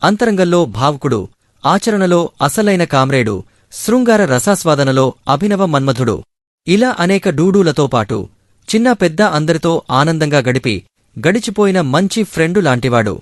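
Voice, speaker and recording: Animated, high-pitched man narrating poetically. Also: moderate pace, no room sound, clean studio sound. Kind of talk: scripted, poetic documentary narration.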